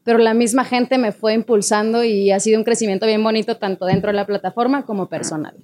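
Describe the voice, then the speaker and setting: A woman's voice: a little high, fairly even intonation, fast talker, darkish tone, slight background noise. A young woman with a Mexican accent, a singer telling her story as a guest in a casual interview, full of fillers. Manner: chatty storytelling, many fillers like "o sea" and "¿no?". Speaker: singer and online creator, guest.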